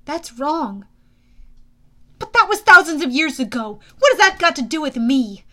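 A woman's voice: sits high, sing-song, normal speed, a bit bright, pitched above the performer's own voice. A woman voicing a defiant, earnest teenage boy hero in an audiobook, speaking in a plain, emphatic, youthful way. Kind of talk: audiobook character voice.

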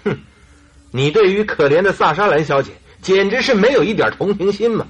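A man acting in a radio drama as an excitable, easily exasperated sidekick, huffy and full of exclamations. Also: high voice, fairly quick, slightly rough. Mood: indignant.

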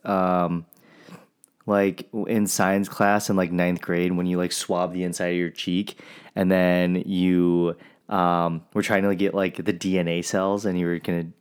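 A young man co-hosts a casual podcast. His voice is low, he is sniffly, and he answers his partner with dry, teasing, laid-back remarks. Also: level tone, measured pace, smooth. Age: young adult. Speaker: podcast co-host.

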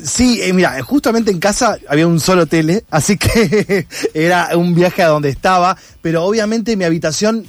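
A man with an Argentine accent and a fairly high voice answers in an interview. He talks conversationally, thinking as he goes and often rephrasing. The sound is dry, with very little room in it. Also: no room sound. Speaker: interviewed actor and content creator.

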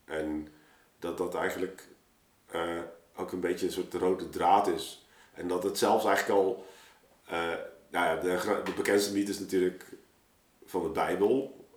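A man's voice: sits low, fairly even intonation, unhurried. A man telling stories casually as a podcast guest, rambling and colloquial as he thinks aloud. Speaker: podcast guest, audio drama maker. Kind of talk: casual chat, informal storytelling. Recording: roomy, echoey space.

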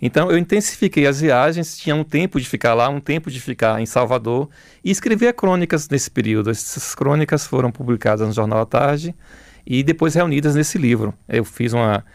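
A middle-aged man with a Bahian Brazilian accent, talking reflectively as an interview guest, haltingly, repeating words as he searches for phrasing. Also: medium pitch, lively intonation, dry recording, studio quality.

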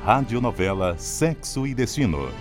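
A man's voice: medium pitch, big pitch swings, normal speed. A man with a Brazilian accent working as a radio announcer, reading scripted credits in a formal, emphatic style. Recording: music bed under voice.

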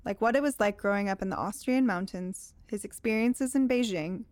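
A woman's voice: medium pitch, brisk, darkish tone, no echo. A woman reading scripted narration as the host of an interview programme.

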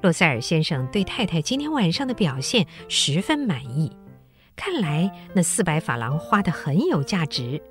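Expressive, lower-pitched woman storyteller. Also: moderate pace, faint music behind. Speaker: narrator. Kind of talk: scripted storytelling narration for radio drama.

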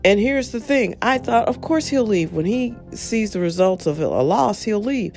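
Husky, congested woman host ranting. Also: medium pitch, moderate pace, soft background music. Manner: blunt, animated, swears casually. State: nasal congestion. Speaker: show host. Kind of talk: opinionated solo monologue.

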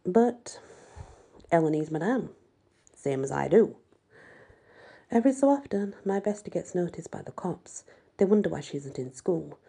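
A woman's voice: on the low side, animated, normal speed, vocal fry, long pauses, dark tone, husky. A woman reading a first-person novel aloud as scripted audiobook narration. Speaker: audiobook reader.

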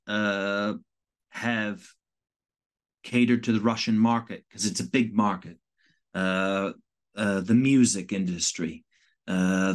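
A man from Canada, a guest in an interview, answering in a halting, thoughtful way with many pauses and restarts. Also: lowish voice. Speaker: interview guest, volunteer evacuation worker.

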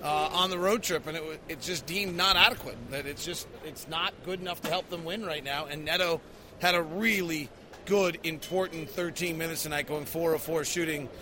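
A man's voice: on the high side, moderate pace, a crowd in the background. An American man hosting a basketball post-game podcast, giving emphatic analysis and stressing the key figures. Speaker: sports podcast host.

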